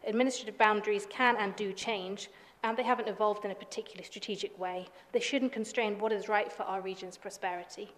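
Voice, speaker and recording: A woman councillor argues her position in a measured, steady way, with a few restarts. Her voice sits at a middle pitch. The hall adds a long reverb. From England. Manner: measured, argumentative, some restarts. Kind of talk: council debate speech. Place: council chamber.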